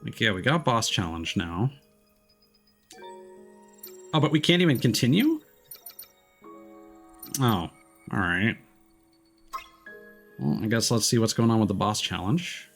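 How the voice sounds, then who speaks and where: A man's voice: fairly low pitch, lively intonation, measured pace, pauses often, fairly bright tone. A man giving laid-back, noncommittal commentary while livestreaming a video game. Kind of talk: casual gameplay commentary. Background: game music.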